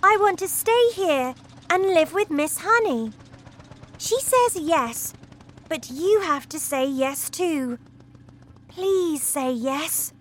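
A woman voicing a clever little schoolgirl who speaks high and urgently, pleading. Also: expressive, a little slow, a bit bright, a little hiss or hum.

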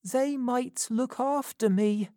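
A woman's voice: fairly high pitch, slow, warm tone, dry recording, studio quality, pitched above the performer's own voice. A woman reading a storybook and voicing a weak, wounded young boy who speaks in a frightened, faltering way. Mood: desperate.

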